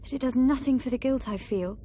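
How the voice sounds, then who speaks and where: Young crown princess, high pitch, regal, dutiful, earnest, video game drama. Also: female voice, brisk, mellow, breathy edge. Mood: solemn, burdened.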